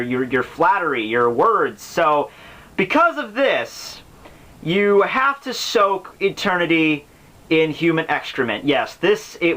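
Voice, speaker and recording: A man's voice: a little high, bright, forceful tone, rough recording. A man giving casual, rambling commentary on a classic book as host, informal and slangy, with profanity and joking asides.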